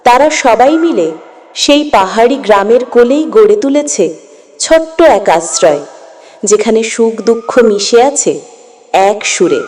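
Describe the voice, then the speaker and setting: Slow, higher-voiced woman storyteller. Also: a little mellow, a little hiss or hum. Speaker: story narrator. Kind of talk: scripted dramatic storytelling narration.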